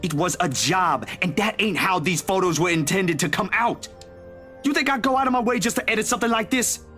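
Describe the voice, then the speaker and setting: A man voicing a defensive, quick-tempered young man who talks back indignantly, swears and uses casual slang. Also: high-pitched, bright, forceful tone, soft background music. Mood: heated.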